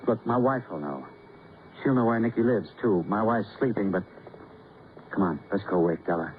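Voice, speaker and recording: Male actor as worried veteran husband, low pitch, halting agitated speech, vintage radio acting, narrow-band broadcast sound. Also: lightly acted. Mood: anxious, agitated. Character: anxious, loyal war-veteran husband and friend. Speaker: actor in radio crime drama. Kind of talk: 1940s-50s radio acting. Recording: muffled, faintly noisy old radio broadcast.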